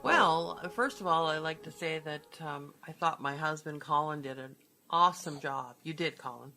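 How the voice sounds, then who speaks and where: A woman's voice: low-pitched, normal speed, bright, forceful tone, soft background music. A woman co-hosting in casual, conversational chat. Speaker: co-host, co-producer.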